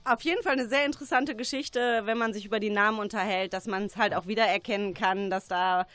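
A woman's voice: mid-range voice, steady tone, a little fast, a bit bright, dry recording. Woman hosting a radio interview, music behind.

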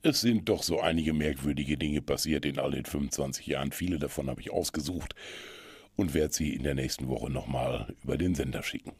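A man presenting a radio culture magazine, chatting in long, measured sentences as he passes on tips to listeners. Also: rumbling low, darkish tone, breathy edge, dry recording, studio quality.

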